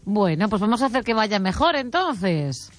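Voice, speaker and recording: A woman's voice: medium pitch, sing-song, moderate pace, dry recording, soft background music. A woman hosting a radio show, chatting casually and encouragingly with a caller. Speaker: radio host taking listener calls.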